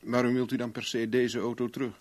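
Radio play role: male actor as probing private investigator, courteous, dry, sceptical, average male pitch. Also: level tone, fast, close to an everyday voice. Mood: dry, inquisitive. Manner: dry, questioning, polite.

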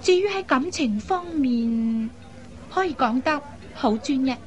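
A lively young woman in a radio drama. Her voice sits high and she talks in a chatty, coaxing way, headstrong and full of expressive particles. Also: unhurried. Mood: eager, coaxing. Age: young adult. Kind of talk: Cantonese radio drama acting.

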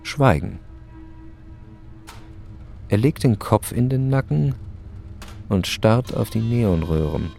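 Low, gravelly male narrator. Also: lively intonation, slow pace, pauses often, warm tone, soft background music. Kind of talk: scripted literary narration in radio drama.

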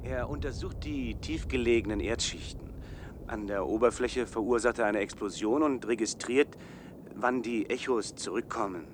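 A man's voice: mid-range voice, moderate pace, background noise, lightly acted. An actor playing an earnest male visitor in a science fiction radio play, explaining things with some urgency.

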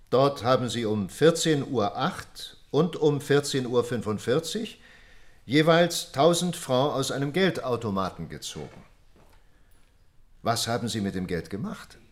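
Actor as stern male prosecutor type, formal, precise, lively intonation, radio drama. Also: medium pitch, a little slow, close to an everyday voice. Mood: stern questioning. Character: male court official, stern, formal, probing questioner. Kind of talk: radio drama courtroom reading.

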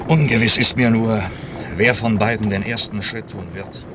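Man playing worried confidant in a radio play, lowish voice, ominous and insistent, lots of watery background noise. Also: fairly even intonation, normal speed, lightly acted. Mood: urgent, foreboding. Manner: probing, ominous, urgent. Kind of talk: German radio drama acting.

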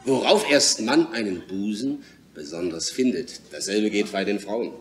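A man's voice: on the low side, lively intonation, a little slow. An actor playing a brash, bawdy young man in a German radio play, teasing and lecturing in a worldly, mocking way. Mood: lecturing, amused. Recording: echoey room, slightly distant, faint hiss.

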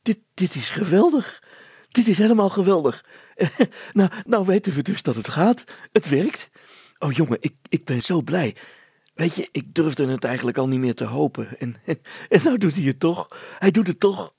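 A man voicing an excitable middle-aged inventor and father in a story, anxious and overjoyed, stammering and repeating himself. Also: fairly high pitch, normal speed, slightly rough, no room sound, voice pushed up, full character voice. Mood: overjoyed, excited.

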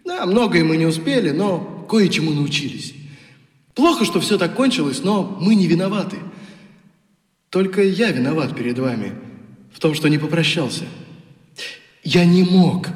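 An actor playing a sincere, heavy-hearted young youth-troop leader in a radio play. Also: male voice, a little high, expressive, normal speed, darkish tone, natural voice. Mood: sorrowful farewell. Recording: reverberant, echoing hall effect, faint music.

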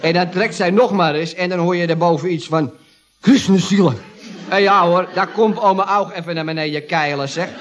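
An actor playing a gleeful, rambling employee who retells mishaps in an old radio comedy, with a Netherlandic Dutch accent. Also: male voice, high-pitched, normal speed, close to an everyday voice. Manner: rambling, exclamatory storytelling. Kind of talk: 1970s radio comedy acting.

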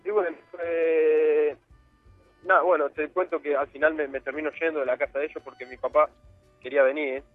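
A man's voice: on the high side, little pitch movement, fairly quick, dry recording, narrow, tinny audio, soft background music. A young man from Argentina with an Argentine Spanish accent, telling his story as a guest in a casual interview, rambling with many fillers. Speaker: guest footballer.

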